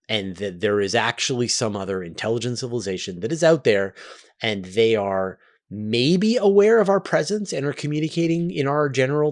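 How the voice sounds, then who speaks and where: A man hosting a question-and-answer show, explaining things conversationally in an animated way with rhetorical questions. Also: medium pitch. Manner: animated, rhetorical questions, acts out imagined quotes. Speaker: host of a space Q&A show.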